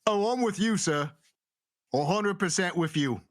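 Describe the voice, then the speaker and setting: A man's voice: high voice, lively intonation, measured pace, dry recording, clean studio sound, pitched above the performer's own voice. A man voicing a chummy, evasive bartender in a tabletop game, stammering and hedging deferentially. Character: chummy, evasive male bartender serving regular patrons.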